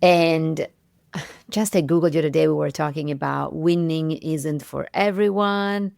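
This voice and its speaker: Female podcast host, lowish creaky voice, expressive conversational interviewer, close dry sound. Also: measured pace, no room sound.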